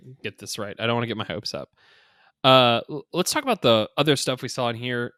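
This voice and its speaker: A man's voice: medium pitch, expressive, brisk, a bit bright, dry recording. Casual male podcast host, close dry sound. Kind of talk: casual two-person podcast discussion of a mobile game.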